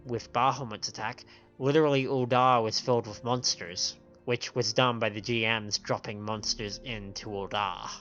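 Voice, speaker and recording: An androgynous-sounding streamer at a middle pitch reads game dialogue aloud and comments on it. The delivery trips over written lines now and then. The sound is slightly dull, with game music underneath. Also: fairly even intonation, moderate pace, fairly bright tone. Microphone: microphone on a stand.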